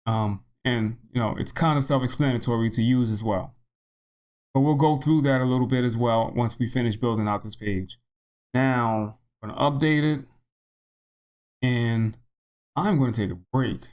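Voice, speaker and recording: A man narrating a software tutorial in a casual, unscripted way, thinking aloud and repeating himself, his voice slightly hoarse. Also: mid-range voice, normal speed. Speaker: tutorial host.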